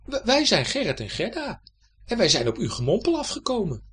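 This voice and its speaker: A man's voice: high-pitched, huge pitch swings, fairly quick, husky, voice pushed up, heavily acted. A man voicing a bold, curious young boy in a children's adventure story. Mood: explaining. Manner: hushed hissing to bold calling.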